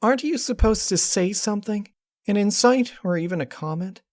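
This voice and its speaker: A man's voice: high voice, animated, normal speed, dry recording, clean studio sound, pitched above the performer's own voice. A man voicing a distraught, controlling young female pony princess in a storybook reading, with a pleading, emotionally strained delivery. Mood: subdued, regretful. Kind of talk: dramatic storybook character reading.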